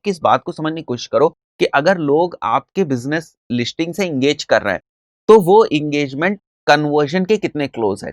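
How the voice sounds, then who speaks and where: A man's voice: a little high, no room sound. Dry-sounding Indian man teaching a tutorial. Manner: didactic, often checks with tags like "okay" and "right"; mixes English terms and phrases into speech. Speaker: digital marketing instructor. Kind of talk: explanatory tutorial, conversational.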